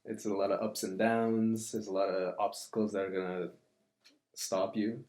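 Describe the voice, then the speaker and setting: A man with a very deep voice chats casually on a podcast, supportive and free with his affirmations. The room around him is echoey. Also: level tone, moderate pace, a little mellow. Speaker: podcast co-host. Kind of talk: casual reflective chat.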